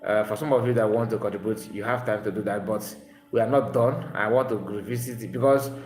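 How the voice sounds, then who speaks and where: A man's voice: fairly low pitch, steady tone, fast talker, darkish tone. A man, an Igbo speaker, hosting a live call-in stream and talking emphatically. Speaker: livestream host. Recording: roomy room sound, faint noise.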